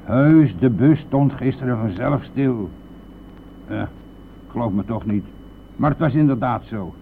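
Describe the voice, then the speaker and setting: A gravelly, brazen bus driver in a radio drama, shrugging off blame with offhand bluster and cajoling talk. The recording is tinny and phone-like, with light noise behind it. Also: male voice, medium pitch, expressive, unhurried. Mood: defensive. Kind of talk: radio play acting. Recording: noisy phone-like old radio play recording.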